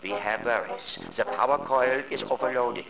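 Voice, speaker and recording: A man as gravelly, stern dam supervisor. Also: mid-range voice, moderate pace, close to an everyday voice. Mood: uneasy. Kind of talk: video game cutscene acting. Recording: roomy, distant, music behind.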